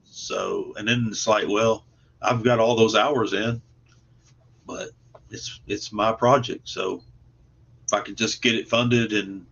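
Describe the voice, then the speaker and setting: A man's voice: lowish voice, fairly even intonation, slow, vocal fry, pauses often, a bit bright, slightly rough. A man chatting casually as an interview guest, modest and folksy, often repeating words as he goes. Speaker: guest comic book creator. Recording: dull lo-fi call audio.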